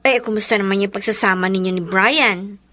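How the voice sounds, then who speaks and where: An actress playing an expressive, nosy young best friend with a Filipino accent, exclamatory and mixing in English, in a radio drama. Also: female voice, mid-range voice, fairly quick. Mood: curious.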